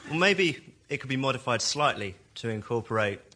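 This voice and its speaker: A man pitching to a live audience as a member of the public, with a deadpan, mock-sincere comic way of talking. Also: fairly quick. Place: radio studio with live audience. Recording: studio audience and faint noise. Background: audience laughter.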